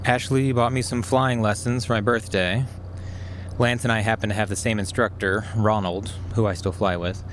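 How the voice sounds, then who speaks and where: An actor playing a sharp, methodical schemer who explains things conversationally in a naturalistic audio drama. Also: male voice, fairly low pitch, steady tone, normal speed, a little hiss or hum, close to an everyday voice. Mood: focused, conspiratorial. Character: sharp, methodical male schemer plotting revenge on a swindler.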